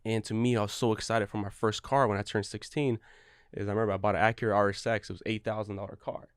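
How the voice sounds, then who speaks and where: A man's voice: fairly low pitch, flat delivery, quick pace, smooth, no room sound, studio quality. A young man from Ukraine, a guest in a casual interview, talking earnestly and rambling through restarts. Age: young adult. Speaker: guest, real estate broker.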